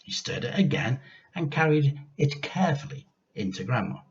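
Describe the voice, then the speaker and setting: A man reading a children's storybook aloud like a teacher, with expressive, deliberate and emphatic delivery. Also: medium pitch, dull audio.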